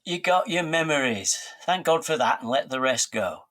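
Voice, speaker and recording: Mild, animated peacemaking son-in-law. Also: male voice, fairly high pitch, moderate pace. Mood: earnest. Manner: placating, mild. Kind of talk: naturalistic audio drama acting.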